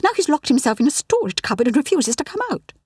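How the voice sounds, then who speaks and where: A woman's voice: high voice, very animated, fast, darkish tone, breathy edge, no echo, clean studio sound, pitched above the performer's own voice, heavily acted. A woman voicing a stern, proper older female museum doctor in an audiobook, polite but clipped and reproachful.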